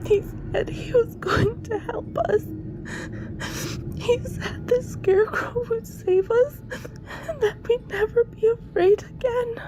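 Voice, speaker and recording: Frightened, crying schoolgirl, extremely high voice, slow, noisy background, audio drama. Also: steady tone. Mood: terrified, sobbing. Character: terrified, sobbing schoolgirl rescued from a villain. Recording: clear background noise.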